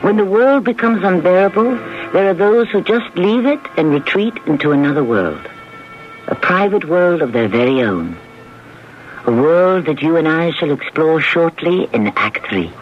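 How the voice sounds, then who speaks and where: A man's voice: a little high, lively intonation, moderate pace. A man hosting a radio drama with solemn, theatrical scripted narration. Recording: dull old radio broadcast. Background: music.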